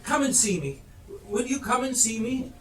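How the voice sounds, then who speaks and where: A man's voice: very high voice, expressive, normal speed, a bit bright. A man acting an old reformed miser on an amateur stage, eager and apologetic, stammering effusively as he goes. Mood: eager, humble. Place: theatre stage, live performance. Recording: distant echoey live stage recording, faint noise.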